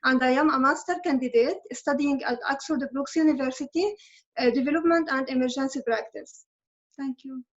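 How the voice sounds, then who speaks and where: A woman's voice: high-pitched, steady tone, fairly quick, muffled recording. A woman in her thirties whose first language is Arabic, telling her own story earnestly and steadily as a guest in a conversation, often correcting herself mid-phrase. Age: 30s. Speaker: interviewee, refugee graduate student. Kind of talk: online conversation at a public event.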